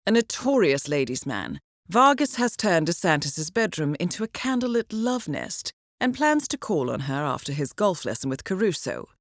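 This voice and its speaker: An actress playing a composed, dryly witty intelligence handler in a video game, with a formal, precise, understated way of briefing. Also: female voice, fairly low pitch, big pitch swings, normal speed, no echo, clean studio sound. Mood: measured briefing.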